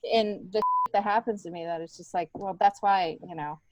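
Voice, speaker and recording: A middle-aged American woman, a stand-up comedian being interviewed, telling stories casually with an animated, emphatic delivery. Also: medium pitch, moderate pace, no echo, rough recording. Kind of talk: casual conversational storytelling.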